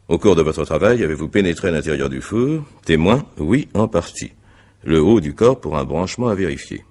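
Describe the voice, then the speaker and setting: A man playing an examining magistrate in a radio mystery, courteous but insistent, with formal, deliberate phrasing. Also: extremely low pitch, darkish tone, husky, exaggerated voice. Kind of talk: French radio mystery drama acting.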